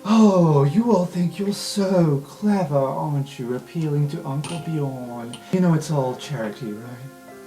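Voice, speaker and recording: A man's voice: fairly high pitch, measured pace, mellow, faint music behind, lightly acted. Man playing a haughty, spiteful rich man. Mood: sneering. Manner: sneering, formal.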